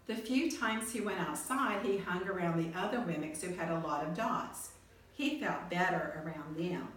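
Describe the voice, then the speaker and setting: Woman storyteller, lower-pitched, expressive read-aloud for children; very echoey room with faint noise. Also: normal speed, recorded from a distance.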